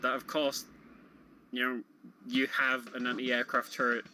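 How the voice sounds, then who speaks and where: A man's voice: medium pitch, flat delivery, a little slow, slight background noise. A man giving casual running commentary on a video game, explaining how it plays. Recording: game music under the voice. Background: loud game music.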